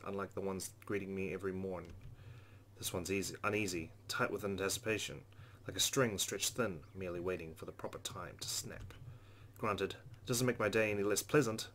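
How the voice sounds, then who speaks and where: Fast-talking, low-voiced man reading aloud. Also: fairly bright tone, a little hiss or hum. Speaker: let's play host. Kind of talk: reading visual novel narration aloud.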